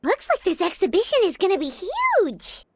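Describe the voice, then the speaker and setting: A woman voicing a small, childlike fairy companion in a video game, curious and excitable with a squeaky voice. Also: normal speed, no echo, clean studio sound, exaggerated voice. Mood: curious, amazed.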